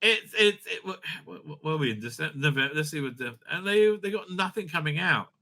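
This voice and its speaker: A man giving unscripted, profane, opinionated commentary as a video host, stammering and repeating himself in an excitable way. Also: on the high side, fairly quick, vocal fry.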